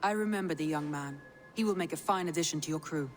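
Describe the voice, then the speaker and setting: Woman as shrewd, low-voiced Viking advisor. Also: fast talker, natural voice. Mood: composed. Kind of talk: dramatic video game acting.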